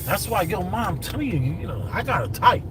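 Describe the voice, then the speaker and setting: A man's voice: sits high, very animated, fairly quick. A man, the host, chatting casually while driving, joking and emphatic about what he likes. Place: in a car. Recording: loud road noise from car. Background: loud traffic and car noise.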